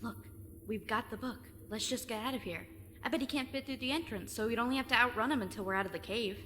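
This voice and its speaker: A woman acts a cautious, sarcastic teenage sidekick in a fantasy audio drama. Her voice sits fairly high, in a reverberant space with clear background noise. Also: fast talker, a bit bright, close to an everyday voice. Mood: nervous, urgent. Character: wary, practical, sarcastic teenage sidekick, friend of heroine.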